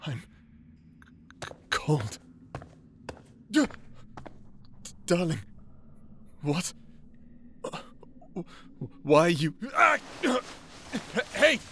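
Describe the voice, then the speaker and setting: A woman acts as a frightened, weakened beloved in a fantasy romance drama. She stammers very slowly with big swings in pitch, over noisy ambience. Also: full character voice. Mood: alarmed. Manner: stammering, breathy, faltering. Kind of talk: dramatic audio roleplay acting.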